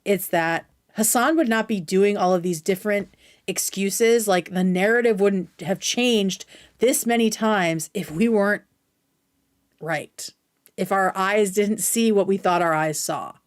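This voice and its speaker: A woman's voice: mid-range voice, a little slow. Blunt, casual woman livestreamer. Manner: blunt, profane. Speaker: streamer, host. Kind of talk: casual opinionated livestream commentary.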